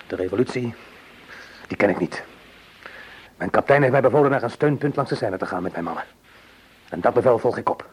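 A dutiful, sombre army man, played by an actor in a radio play. His voice is low and varies widely in pitch, with a restrained weight, on a slightly noisy old recording. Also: expressive, brisk, pauses often, natural voice. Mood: troubled, firm. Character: dutiful, weary male army soldier, loyal and sombre. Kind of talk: Dutch radio play acting.

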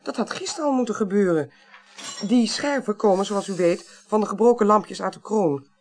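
A woman's voice: medium pitch, moderate pace, a little mellow, slight background noise, close to an everyday voice. An actress playing a thoughtful, reserved female parliamentary clerk in a radio drama, musing and hesitant as she investigates. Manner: hesitant, musing, repeats words. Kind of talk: 1980s Dutch radio drama acting.

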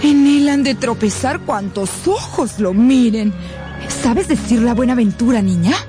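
Woman playing a high-pitched, fussy lady. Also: unhurried, lightly acted. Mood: gushing admiration. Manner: effusive, exclaiming. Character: gushing, fussy lady neighbor of a noblewoman. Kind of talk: radio novel acting. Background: background music.

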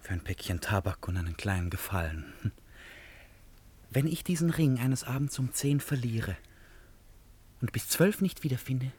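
An actor playing an eccentric, impulsive, flattering suitor in a German radio play, talking in an effusive, rambling way. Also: male voice, medium pitch, moderate pace, long pauses, slightly rough. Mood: musing.

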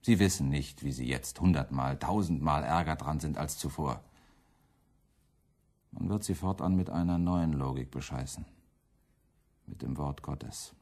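Deep, husky firebrand preacher. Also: male voice, unhurried, pauses often, a little mellow, full character voice. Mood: bitter, sarcastic. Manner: accusatory, biting rhetoric. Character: fiery radical preacher, man, championing hungry peasants, defiant, scornful. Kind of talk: German radio play acting.

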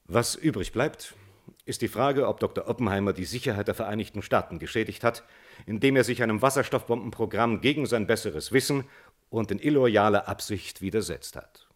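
An actor playing an earnest defence lawyer, formal and deliberate, making a plea in a German radio drama. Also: male voice, lowish voice, lightly acted. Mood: earnest, insistent. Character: measured, earnest defence lawyer pleading at a hearing.

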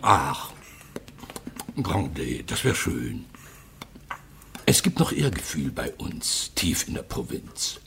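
Deep provincial gent, slow with big pitch swings, earnest and flattering, radio play. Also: male voice, slight background noise, full character voice. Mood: fervent, flattering. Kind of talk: radio drama acting.

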